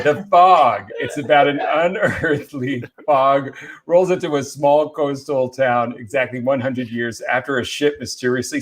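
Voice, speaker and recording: A man's voice: a little high, a bit bright. A man co-hosting a casual film talk among friends, speaking in a chatty, enthusiastic way. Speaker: podcast co-host.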